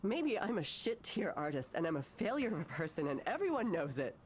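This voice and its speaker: An actress voicing an anguished, bitter, self-doubting woman artist in a naturalistic visual novel, speaking haltingly and emotionally. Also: on the low side, very animated. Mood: self-loathing. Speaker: counselling patient.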